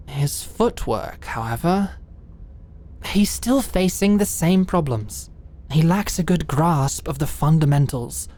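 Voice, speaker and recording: A woman playing a composed, dutiful lady knight in a fantasy audio drama, speaking in a formal, measured, deferential way. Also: sits low, darkish tone.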